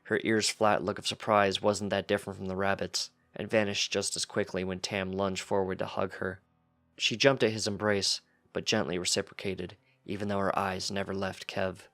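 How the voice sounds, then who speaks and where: A man's voice: low-pitched, flat delivery, moderate pace, a bit bright, soft background music. A man reading fan fiction aloud as scripted story narration. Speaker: fanfiction reader.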